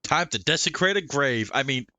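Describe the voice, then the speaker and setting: A man's voice: mid-range voice, animated, moderate pace, sharp tone, no echo. A man streaming a game, reading dialogue choices aloud and tossing in short quips.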